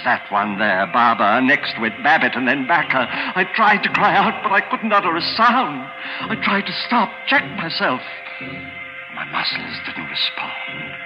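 A man playing a frightened rich older hospital patient, narrating in tense, breathless 1940s radio drama style. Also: on the low side. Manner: tense, breathless dread, hushed to urgent. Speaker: lead actor and first-person narrator. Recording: muffled 1940s radio broadcast, music behind.

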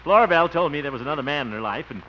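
A man's voice: fairly high pitch, expressive, racing, natural voice. A man plays a boastful small-town bachelor who turns blustery and flustered in a moment, and his tone swings a great deal. The recording is dull and hissy, as old radio tends to be. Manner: blustery, easily flustered, chuckles. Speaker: lead comic character. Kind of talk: 1950s radio comedy acting.

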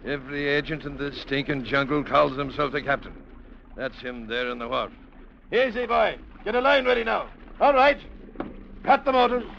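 An actor playing a gruff, cynical river-boat captain in a blunt, sardonic radio-drama style. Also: male voice, on the high side, lively intonation, moderate pace. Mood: barking orders. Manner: blunt, sardonic, shouts orders. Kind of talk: 1940s-50s radio drama acting. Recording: dull, noisy old radio recording.

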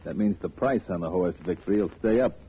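An actor playing a curt, calculating crooked gambler in a 1940s radio crime drama, talking in tough slang. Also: male voice, sits low, quick pace, natural voice. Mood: scheming. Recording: muffled, noisy old radio recording.

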